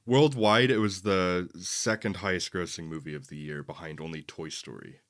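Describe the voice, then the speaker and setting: A man's voice: low voice, steady tone, moderate pace, a bit bright, no echo, studio quality. A man co-hosting a casual film chat, talkative and opinionated with a wry streak. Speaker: podcast co-host. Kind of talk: casual, conversational movie chat.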